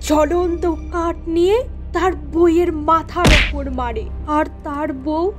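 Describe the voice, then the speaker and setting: A woman's voice: very high-pitched, steady tone, a little slow, loud music behind the voice. A woman narrating a Bengali cartoon story in a plain storytelling manner.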